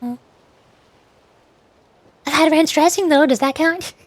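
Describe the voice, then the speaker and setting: High-pitched woman chatting on a stream. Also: dry recording. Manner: hesitant, halting, repeats words. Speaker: VTuber streamer. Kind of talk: casual gaming stream chat.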